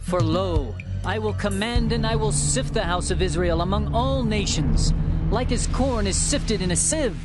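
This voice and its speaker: A man narrating dramatized scripture in a solemn, declamatory way with measured phrasing. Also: sits high. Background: music.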